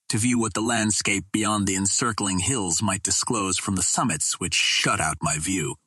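A man reading a literary adventure novel aloud as an audiobook narrator, in a measured, formal storytelling manner. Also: on the low side, a bit bright, slightly rough, no room sound. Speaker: audiobook reader.

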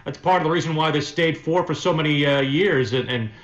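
A man who works as a sports announcer, answering casually as a radio guest in measured, wordy, hesitant phrases. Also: fairly high pitch, a bit bright, lo-fi sound. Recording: roomy, echoing sound.

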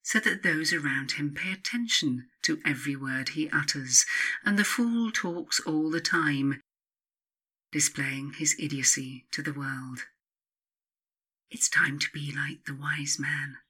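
A woman narrates her own self-help audiobook slowly, with a breathy, husky edge and a bright, forward tone. She is measured and instructive, setting off key phrases with emphatic pauses. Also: low-pitched. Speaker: author reading own book.

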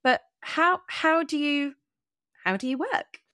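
A woman's voice: high voice, slow, bright, forceful tone, no echo, clean studio sound. A woman hosting a casual interview, musing aloud and asking informal questions in a conversational way. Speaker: podcast host, interviewer.

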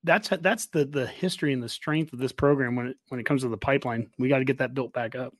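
A man's voice: medium pitch, quick pace. An American man hosting a podcast in a casual sports interview chat. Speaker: podcast host.

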